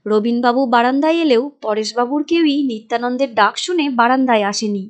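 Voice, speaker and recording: A woman's voice: fairly high pitch, fairly quick, dull audio. A woman narrating a cartoon moral tale in a scripted storytelling style.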